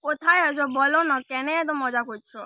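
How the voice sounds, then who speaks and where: A woman with a sharp, high voice talks quickly on a prank call. She scolds and presses her point in a casual, insistent way. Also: dry recording, clean studio sound. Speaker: prank caller posing as the wife of the person called. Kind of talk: prank phone call, casual spoken Bengali.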